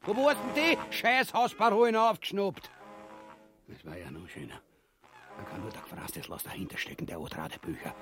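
A man's voice: high-pitched, huge pitch swings, normal speed, fairly bright tone, soft background music, full character voice. An actor playing a vulgar, cowardly, bombastic king in a grotesque comedy, blustering and whining with an Austrian accent. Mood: indignant bullying. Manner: blustering, whining, swooping between mutters and bellows. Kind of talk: grotesque comic radio-play acting.

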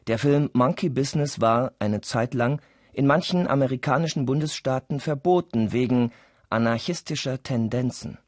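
A man's voice: mid-range voice, dry recording, studio quality. A man reading scripted documentary-style narration as a narrator.

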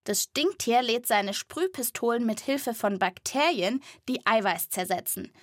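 A woman's voice: a little high, lively intonation, a little slow, no room sound, clean studio sound. A woman narrating a scripted wildlife story for children, with a vivid, playful storytelling manner. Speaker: host of a children's wildlife podcast.

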